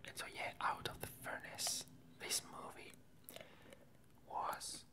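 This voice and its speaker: A woman whispering a casual ASMR chat in a breathy, halting way with long pauses. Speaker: ASMR video creator.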